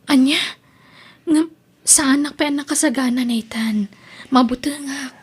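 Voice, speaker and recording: Girl acting young daughter in a family drama, really high voice, earnest and pleading. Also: a little slow. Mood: anxious. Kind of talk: Ilocano family radio-style drama acting.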